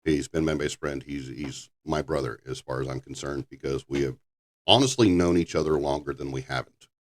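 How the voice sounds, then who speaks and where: An older man with a very low voice, hosting a casual podcast chat, rambling and halting with frequent restarts. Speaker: podcast host.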